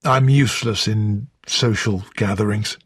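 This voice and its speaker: A reader doing a gruff, terse older man. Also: mid-range voice, unhurried, dry recording, clean studio sound, pitched below the performer's own voice. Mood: plain. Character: gruff, plain-spoken older man, soldier type.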